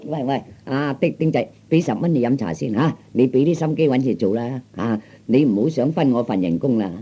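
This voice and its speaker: A man's voice: mid-range voice, lively intonation, fairly quick, warm tone, slightly rough. A man playing a mild, conciliatory fellow with a Cantonese accent, speaking soothingly in old radio comedy style. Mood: kindly. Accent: Cantonese, Hong Kong or Guangdong. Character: mild, conciliatory grown man, slow peacemaker. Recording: dull old 1960s comedy record.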